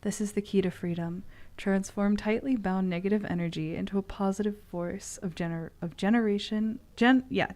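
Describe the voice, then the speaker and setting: A woman with a medium-pitched voice talks casually as she does tarot readings for a stream. She is playful and swears easily, switching between chatty asides and card interpretations. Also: darkish tone. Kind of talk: casual chat mixed with reading aloud from a tarot book.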